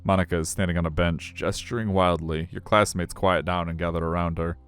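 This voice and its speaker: A man's voice: sits low, level tone, a little fast, fairly bright tone, clear, resonant tone. A man narrating a visual novel on a live stream, with chatty, joking commentary and game music behind him.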